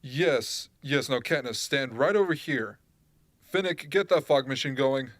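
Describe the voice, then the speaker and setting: A man's voice: mid-range voice, lively intonation, unhurried, natural voice. A man playing a businesslike propaganda director giving orders in an amateur radio drama. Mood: commanding.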